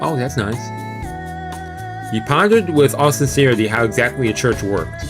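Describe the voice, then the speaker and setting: A man's voice: medium pitch, lively intonation, moderate pace. Casual man streaming a visual novel read-aloud. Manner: casual asides, stumbles over long words. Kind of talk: let's play commentary and read-aloud narration. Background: video game music.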